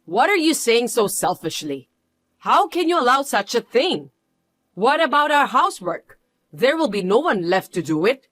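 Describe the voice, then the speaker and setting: A voice actor playing a selfish, demanding older mother-in-law who talks in a shrill, indignant way. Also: lively intonation, normal speed, fairly bright tone, no room sound, lightly acted. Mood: outraged. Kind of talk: dramatized story reading.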